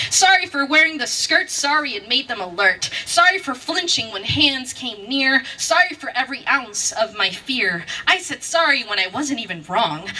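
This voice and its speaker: Bright-voiced woman performing spoken word, distant mic. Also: a little high, breathy edge. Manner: rhythmic, emphatic, dramatic delivery with repeated phrases. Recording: distant mic with faint background noise.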